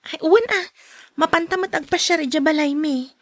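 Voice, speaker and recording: A woman's voice: high-pitched, normal speed, no room sound, clean studio sound, natural voice. A woman acting a polite, modest young working daughter in a radio drama, with an Ilocano accent. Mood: playful, agreeable.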